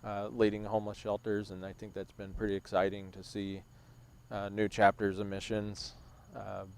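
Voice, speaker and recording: A man in his thirties who works at a rescue mission, speaking reflectively and in a measured way during a casual talk outdoors. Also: fairly low pitch, monotone, smooth. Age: 30s. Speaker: rescue mission staff member. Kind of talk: casual conversation on a livestream. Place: outdoors on a bench.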